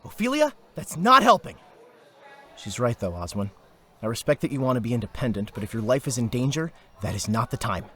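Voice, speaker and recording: A man acting the part of a steady, protective older brother in an audio drama, speaking in a measured, reassuring way. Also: medium pitch. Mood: firm.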